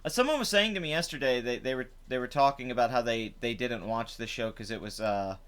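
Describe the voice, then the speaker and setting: Host type: young guy, chatty and rambling, voice rough from the night before, resonant tone. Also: medium pitch, a little fast, fairly bright tone. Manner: rambling, chatty, many fillers. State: rough voice after drinking. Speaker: live stream host. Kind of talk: casual live stream commentary. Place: home.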